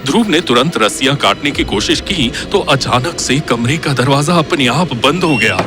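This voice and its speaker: A man's voice: medium pitch, lively intonation, normal speed, over music. A man narrating a scripted horror audio story in a suspenseful, dramatic manner.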